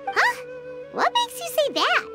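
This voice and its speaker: A woman voicing a tiny, childlike, excitable female fairy companion in a video game, with a squeaky, exclamatory delivery. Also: slow pace, heavily acted. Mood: startled, dismayed. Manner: talks of self in third person, exclamatory. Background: music.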